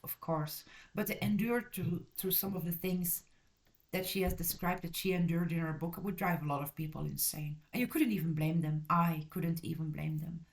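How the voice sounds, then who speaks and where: Host, female, from Belgium, lowish voice, casual review delivery, rambling and self-correcting, blunt. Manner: blunt and direct. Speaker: channel host reviewing a book.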